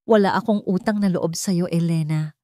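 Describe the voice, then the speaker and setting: A woman's voice: lowish voice, normal speed, mellow, no room sound, close to an everyday voice. A wronged wife played by a woman reader speaks with bitter defiance, finished with being used. It is dramatic audiobook dialogue, recorded dry and close. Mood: firm defiance.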